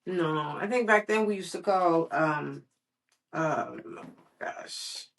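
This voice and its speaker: A woman's voice: deep voice, takes it slowly, vocal fry. A woman reading a children's story aloud expressively, with casual, patient asides to a child.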